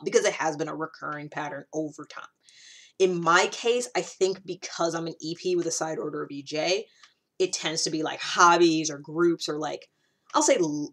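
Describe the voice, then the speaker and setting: Female vlogger, slightly low voice, informal and self-reflective, loose rambling delivery. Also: a bit bright. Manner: rambling and conversational, frequent "like". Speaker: video host. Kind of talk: casual personal vlog about personality typing.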